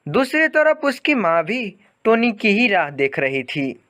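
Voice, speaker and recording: Children's story narrator: unhurried delivery, lively melodic intonation, scripted read. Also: mid-range voice.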